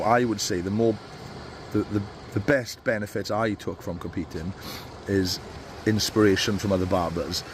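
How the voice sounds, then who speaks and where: A man with a cold, giving casual, rambling advice to barbers from a beach. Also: on the low side. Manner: rambling, with many fillers and restarts. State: stuffy with a cold. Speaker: barber coach. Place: outdoors on a beach. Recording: faint outdoor noise.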